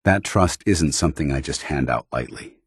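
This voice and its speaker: A man playing a stern, earnest soldier who is secretly synthetic, speaking in a formal, measured military manner for a video game. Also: rumbling low, animated, husky, no echo, clean studio sound, heavily acted. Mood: sincere, humble. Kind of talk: video game companion dialogue, dramatic acting.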